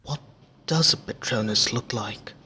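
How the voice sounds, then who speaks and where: A man voicing a nervous teenage schoolboy wizard in a hushed, half-whispered voice. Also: on the low side, a little slow, a little hiss or hum.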